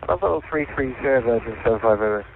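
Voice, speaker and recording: A man's voice: medium pitch, lively intonation, fast, vocal fry. A man speaking as an airline pilot, making requests and readbacks over radio with some hesitation. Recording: tinny, slightly noisy cockpit radio link.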